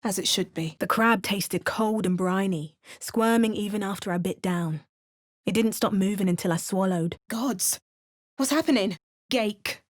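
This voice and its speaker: A woman plays a wry female game adventurer at a mid-range pitch. She gives understated remarks and calm storybook narration. It is recorded close and dry in a studio. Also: measured pace, dry recording, close to an everyday voice. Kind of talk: video game voice acting. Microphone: close studio mic.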